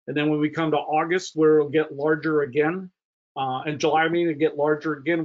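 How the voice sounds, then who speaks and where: A man with a slightly high voice explains his thinking in a meeting discussion. The sound is a somewhat dull video call recording. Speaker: school board chair in a meeting.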